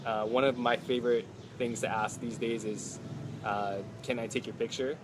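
A man in his thirties talks into a phone held close, with an upbeat, animated way of telling stories. His voice sits at an ordinary pitch. Faint outdoor noise is heard behind him. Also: moderate pace. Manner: upbeat, animated storyteller, many "like"s. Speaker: travel vlogger. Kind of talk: casual live chat. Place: outdoors at a home stay patio.

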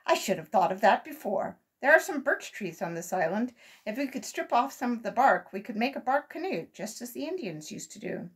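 A woman voicing a kindly, polite old gentleman rabbit in a storybook, courteous and expressive. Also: fairly high pitch, fairly quick, husky, pitched above the performer's own voice, exaggerated voice. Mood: decided.

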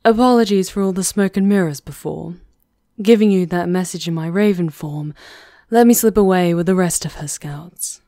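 A woman's voice: lowish voice, normal speed, mellow, dry recording, close to an everyday voice. Woman playing a composed, sly witch, dry close sound. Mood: sly, reassuring. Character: composed, sly female witch conspirator plotting against a countess. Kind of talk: ASMR roleplay acting.

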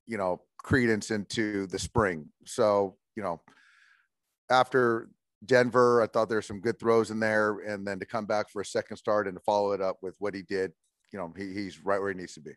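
Man, forties, team manager at a postgame presser, low voice, dry close sound, measured and halting. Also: steady tone, no echo. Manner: measured, many fillers and restarts. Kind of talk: postgame press conference answers. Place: indoors in front of a logo backdrop.

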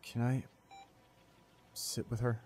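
A man playing a distraught, tender young boyfriend in an intimate audio roleplay, speaking in halting, broken phrases. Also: mid-range voice, little pitch movement, a little mellow, close to an everyday voice. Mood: grieving, guilty.

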